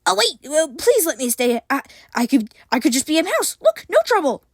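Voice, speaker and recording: A woman's voice: mid-range voice, expressive, normal speed, dry recording, studio quality, close to an everyday voice. Woman voicing a whimpering little satyr. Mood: pleading. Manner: whiny, pleading, mumbling. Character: small, timid male satyr shapeshifter.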